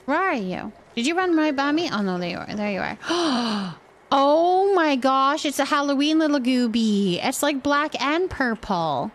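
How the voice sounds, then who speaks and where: Female live stream host, fairly high register, chatty and informal, voices thoughts as they come. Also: animated, measured pace, a little hiss or hum. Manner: chatty, thinks aloud. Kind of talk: casual live game stream chat.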